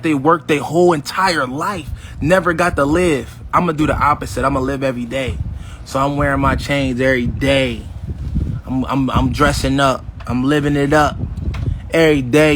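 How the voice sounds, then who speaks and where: 50 y/o guy bragging to his viewers, kinda high voice, faint hiss behind. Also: a bit bright. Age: 50s. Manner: swaggering, addresses viewers directly as y'all; acts out past conversations in quotes. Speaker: vlogger. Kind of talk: casual boastful livestream talk.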